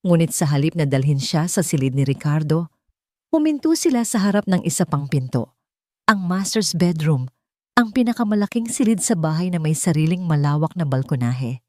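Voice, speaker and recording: A woman's voice: low voice, very animated, moderate pace, dark tone, no echo, studio quality. A woman with a Filipino accent reading a scripted dramatic story as a narrator.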